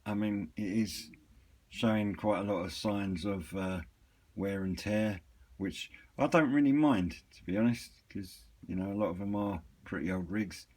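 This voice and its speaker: A man giving a casual, unscripted product walkthrough in a hesitant, rambling, matter-of-fact way, with a slightly husky voice. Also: fairly low pitch, unhurried, darkish tone. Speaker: hobbyist reviewer and seller of used CB radios.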